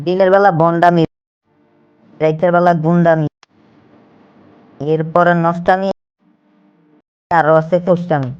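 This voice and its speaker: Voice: medium pitch, steady tone, a little slow, long pauses, clean tone, dull audio, natural voice. A voice actor playing a stingy, joking male bachelor who talks in rhymes. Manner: rhyming, joking banter. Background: cartoon background music.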